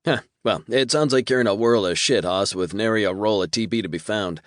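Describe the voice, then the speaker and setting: A man's voice: fairly low pitch, fairly quick, no echo, clean studio sound, pitched above the performer's own voice, lightly acted. A man voicing a breezy, folksy male demon who runs his business coldly and calls people Hoss.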